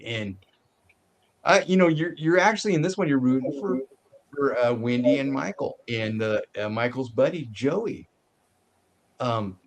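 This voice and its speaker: A man giving a casual movie review as a show host, haltingly searching for his words. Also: mid-range voice.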